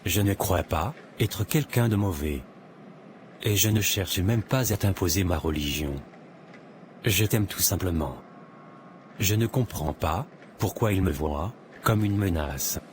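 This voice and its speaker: Earnest romantic lead for animation, male actor, early twenties, polite, notably deep voice. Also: measured pace, slight background noise. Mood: hurt. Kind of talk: animated drama dialogue. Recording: music behind the voice.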